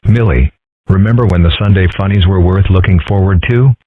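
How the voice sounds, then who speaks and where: Deep husky man in a dry comic dub. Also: fairly quick, no room sound. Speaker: voice actor doing several characters. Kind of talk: fan comic dub reading. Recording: dry phone-like audio.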